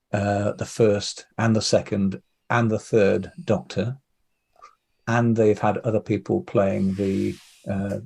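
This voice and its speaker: A man's voice: on the low side, gravelly, mellow, slightly rough. A man giving hesitant, discursive explanations as the guest in a casual interview. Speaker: interview guest, audio drama producer.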